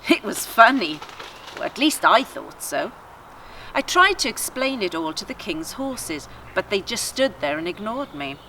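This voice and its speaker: A woman's voice: mid-range voice, sing-song, unhurried, pushed and bright, a little hiss or hum, exaggerated voice, low-quality recording. A woman voicing a wry, aggrieved female donkey who chattily tells her tale in a children's story. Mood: wry, amused. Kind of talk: children's story read aloud.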